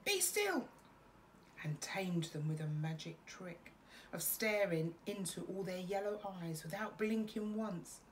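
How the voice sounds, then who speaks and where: A middle-aged woman reading a children's bedtime story expressively, with dramatic pauses. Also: on the low side, steady tone, a little hiss or hum, rough recording. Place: small room at home.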